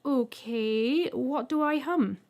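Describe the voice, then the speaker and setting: A woman's voice: high voice, slow, darkish tone. A woman voicing a cheerful, curious female character in an audiobook, informal and questioning. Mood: confused, willing. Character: cheerful, curious, easily amused woman meeting aliens.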